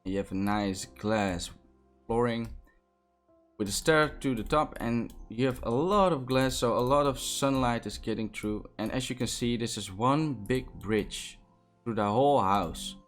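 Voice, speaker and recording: A man's voice: mid-range voice, measured pace, soft background music. A young man from the Netherlands with a Dutch accent, talking through a Minecraft build in a casual, enthusiastic way, with many fillers and restarts. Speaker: Minecraft building tutorial host. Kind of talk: casual gameplay commentary.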